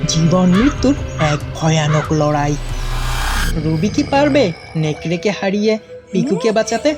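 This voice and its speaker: A woman narrates a children's story with dramatic suspense. Her delivery is unhurried and rises and falls widely. Music sits behind her voice. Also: lowish voice, animated.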